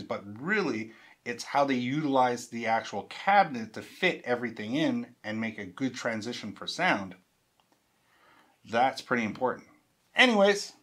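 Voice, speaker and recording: A man's voice: medium pitch, a bit bright. A man in his fifties giving an informal, explanatory product talk in an emphatic, conversational, step-by-step way. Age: 50s. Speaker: product reviewer and presenter. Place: indoor studio room.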